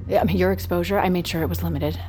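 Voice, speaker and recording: An actress playing a desperate, guilt-ridden dying scientist in a naturalistic audio drama, with halting, hesitant delivery. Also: female voice, lowish voice, fairly even intonation, warm tone, faint music behind, natural voice. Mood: guilty, hesitant.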